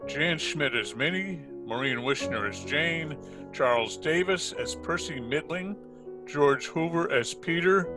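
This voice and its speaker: A man's voice: fairly high pitch, expressive, a little slow. A man reading scripted credits as a radio play announcer. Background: plucked guitar music.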